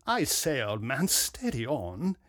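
A man voicing a politely objecting gentleman with a British accent in a mystery story. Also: medium pitch, huge pitch swings, slow, dry recording, clean studio sound, voice pushed up, full character voice. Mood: protesting. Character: mildly objecting English gentleman, a college principal.

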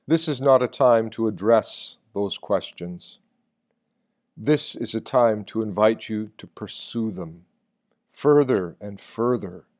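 A man reading a reflective sermon from a text in a solemn, deliberate manner, posing rhetorical questions. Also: mid-range voice, darkish tone. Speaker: reader of a Lenten meditation.

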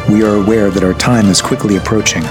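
An actor playing a calm, resolute priest in a radio drama, gentle and solemn. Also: male voice, fairly low pitch, fast talker, natural voice. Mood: solemn resolve. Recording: music behind the voice.

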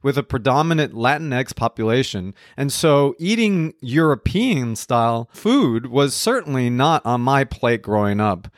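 A man's voice: medium pitch, expressive, unhurried, hardly any pauses, no room sound, studio quality. A middle-aged man from Colombia, a nutrition counselor talking casually and wryly on a podcast. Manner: conversational, wry, lightly joking. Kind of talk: podcast talk.

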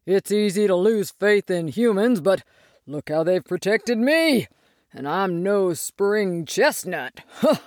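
A woman's voice: medium pitch, animated, no echo, studio quality. Woman doing an ancient easygoing sequoia. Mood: kindly. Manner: unhurried, warm, self-amused. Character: ancient giant male sequoia, wise and easygoing. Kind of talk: storybook character voice.

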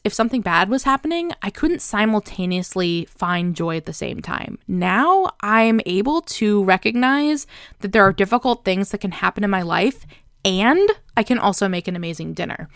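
A woman's voice: medium pitch, gravelly, dry recording, studio quality. A young woman talking casually and earnestly to her viewers, filling her sentences with "like" and "right?". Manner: chatty, earnest, many fillers like "like" and "right?". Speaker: vlogger. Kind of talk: casual reflective talk to camera.

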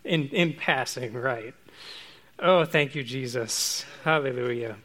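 A man preaching a relaxed, informal sermon to his congregation, chatty and self-joking. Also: mid-range voice, slow.

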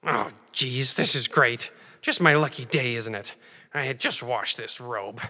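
A man's voice: medium pitch, unhurried, bright, forceful tone, long reverb tail. A man playing a fussy, anxious talking rabbit in a children's audio drama, grumbling and scolding in quaint old-fashioned phrases. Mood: grumbling, sarcastic.

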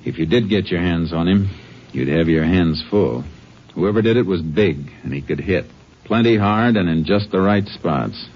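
Stern American lawman, very low voice, laconic, methodical, on a muffled old radio western recording. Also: animated, moderate pace, slightly rough, heavily acted. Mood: grim, matter-of-fact. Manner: laconic, matter-of-fact. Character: stern, methodical male Texas Ranger investigating a murder. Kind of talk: 1950s radio western acting and narration. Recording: muffled, slightly noisy 1950s radio recording.

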